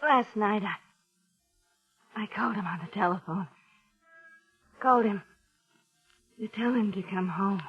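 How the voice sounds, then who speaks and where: A woman playing an anguished, bitter wife in 1950s radio melodrama, speaking in breathless, halting, intense phrases. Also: mid-range voice, close to an everyday voice. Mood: bitter, trembling confession. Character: anguished, bitter wife confessing murderous hatred. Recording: dull vintage radio recording, music behind.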